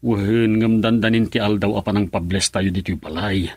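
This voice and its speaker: A man's voice: lowish voice, fairly even intonation, brisk, no room sound, clean studio sound. A man acting a worried, brooding middle-aged father in an Ilocano radio drama. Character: worried middle-aged father drinking away his anxiety.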